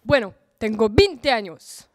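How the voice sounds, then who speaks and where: A woman's voice: fairly high pitch, big pitch swings, unhurried, no room sound, clean studio sound. A woman acting the part of a vain, lovesick middle-aged Spanish knight, pompous and boastful, in a student radio comedy. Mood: insistent.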